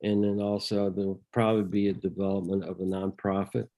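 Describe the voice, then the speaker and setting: An older man from the Netherlands, reading a prepared statement at public comment over a video call, measured, with frequent ums and uhs. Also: low-pitched, monotone, dark tone. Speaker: resident speaking at public comment.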